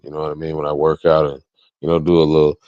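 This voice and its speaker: A man with a very low voice speaks casually as an interview guest. His talk is slangy and conversational, full of "you know" and "man", and the sound is dry with little room in it. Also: monotone, fast, warm tone, dry recording, dull audio. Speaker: interview guest, DJ and event promoter.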